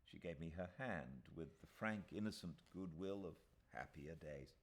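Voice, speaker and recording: A low-pitched British man narrates a classic novel. His delivery is measured and expressive, with deliberate pauses in the manner of a dramatic audiobook. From Britain. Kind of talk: dramatic literary storybook narration.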